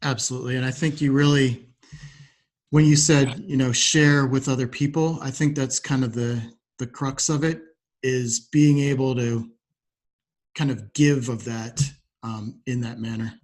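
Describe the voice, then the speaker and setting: A man hosting a conversational interview, agreeable and measured, with slightly hesitant phrasing. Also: medium pitch, fairly even intonation. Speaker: podcast host.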